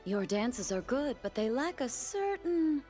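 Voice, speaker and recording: An actress voicing a proud, sharp-tongued young warrior woman in a video game, with cutting, poised delivery. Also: on the high side, sing-song, measured pace. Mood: scornful. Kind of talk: video game character voice lines. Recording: game voice clips with music behind.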